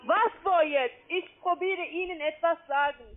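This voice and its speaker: High-voiced foreign woman on a phone line. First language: not German. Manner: halting, ungrammatical German. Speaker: call-centre trading account agent. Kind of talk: phone sales call. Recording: narrow tinny phone line.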